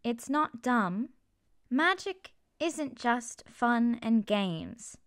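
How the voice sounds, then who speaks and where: A woman's voice: fairly high pitch, very animated, drawn-out words, no echo, clean studio sound, exaggerated voice. A woman voicing a serious young female magic student, blunt and scolding, in an ASMR fantasy roleplay. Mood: indignant.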